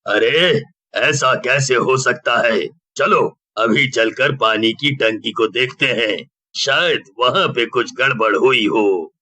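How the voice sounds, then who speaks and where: A man's voice: medium pitch, slow, sharp tone. A man voicing a stern, authoritative village headman in a storybook tale, firm and emphatic. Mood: puzzled. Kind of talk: storybook character acting.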